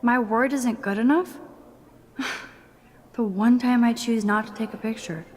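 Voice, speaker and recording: An actress playing a shy, caring young student in a video game, in a naturalistic style. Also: female voice, a little high, measured pace, a little mellow. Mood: worried. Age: young adult. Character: earnest young female student, quietly caring, a bit shy.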